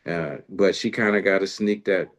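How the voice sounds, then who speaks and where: Low-voiced guy hosting a music chat, slangy and kinda rambling, real casual. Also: moderate pace, muffled recording. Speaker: music radio show host and musician.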